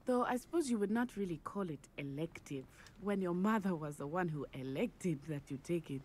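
Actress doing friendly adventurous teen witch, slightly low voice, chatty, game audio w faint noise. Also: animated, normal speed, a little mellow, close to an everyday voice. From Africa. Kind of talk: video game acting.

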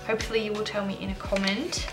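A young woman whose first language is Dutch, chatting excitedly in English as she opens mail at her desk at home, often breaking off and restarting. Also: medium pitch, fast, over music. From Netherlands. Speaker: stationery vlogger. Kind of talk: casual unboxing chat.

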